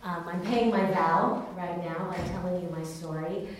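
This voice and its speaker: A woman's voice: on the low side, normal speed. A woman born in Taiwan and raised in California, candidly telling her personal testimony in an earnest, storytelling way. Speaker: speaker giving a personal testimony. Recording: very echoey room, distant mic, faint noise.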